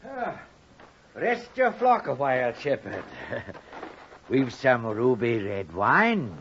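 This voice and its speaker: An actor playing a coaxing, scheming rural thief with a husky voice in a 1950s radio drama. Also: male voice, mid-range voice, sing-song, takes it slowly, a bit bright, a little hiss or hum, full character voice. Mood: coaxing, jovial. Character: smooth-talking, scheming rural English thief. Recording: muffled old 1950s radio recording.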